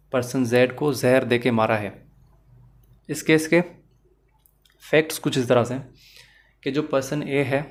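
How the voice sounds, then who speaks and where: A man with a Pakistani accent lectures on law, explaining step by step with examples and mixing English terms and phrases into his speech while addressing the learner directly. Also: mid-range voice.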